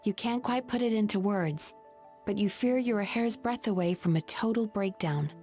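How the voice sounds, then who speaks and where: A woman's voice: mid-range voice, moderate pace, warm tone, natural voice. A woman voicing a caring, composed young female therapist in an anime game, articulate and polite but firm. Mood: grave, insistent. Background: faint background music.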